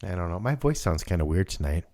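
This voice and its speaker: A man's voice: deep voice, big pitch swings, fast talker, warm tone. A middle-aged man, rambling through a casual podcast chat with many restarts. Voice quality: raspy. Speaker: podcast co-host.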